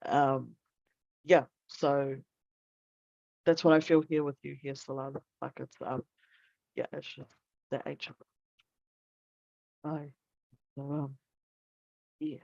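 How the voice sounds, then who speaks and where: A woman's voice: rumbling low, flat delivery, slow pace, creaky, pauses often, darkish tone, dry recording. Muffled, meandering woman host. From New Zealand. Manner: meandering, searching for words, spiritual. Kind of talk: casual online talk, guided breathing.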